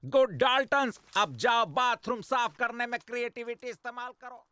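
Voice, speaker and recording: A man's voice: very high-pitched, normal speed, bright, forceful tone. A man voicing a bossy, mocking cartoon prison boss in a theatrical, commanding manner. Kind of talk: Hindi cartoon dub.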